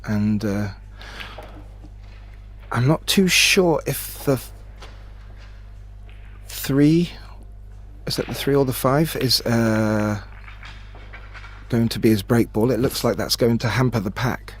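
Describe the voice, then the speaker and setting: A man giving expert pool analysis as co-commentator, thoughtful and a bit halting. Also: mid-range voice. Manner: analytical, hesitant with fillers, laughs easily. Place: remote booth.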